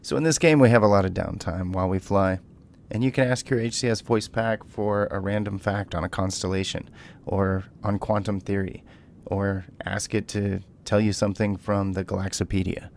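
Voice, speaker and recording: A man's voice: lowish voice, steady tone, normal speed, vocal fry, a little mellow. A man giving casual, playful gameplay commentary as a video host, bantering as he goes.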